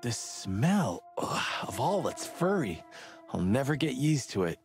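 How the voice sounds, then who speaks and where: An actor playing a world-weary, sardonic bird detective in a film-noir game, with a gravelly voice and dry delivery. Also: male voice, mid-range voice, big pitch swings, measured pace, soft background music. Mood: gruff, disgusted. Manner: cynical, dry.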